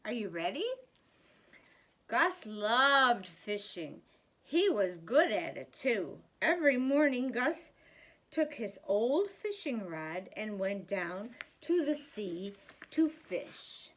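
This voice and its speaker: An older woman reads a children's storybook aloud like a grandmother, with deliberate, expressive emphasis for young listeners. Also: mid-range voice. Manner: slow, deliberate, animated emphasis.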